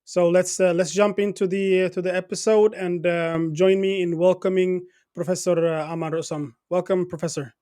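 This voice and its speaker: Male interview host, notably high-pitched voice, halting delivery with repeated words. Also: fairly even intonation, mellow. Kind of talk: interview with a guest.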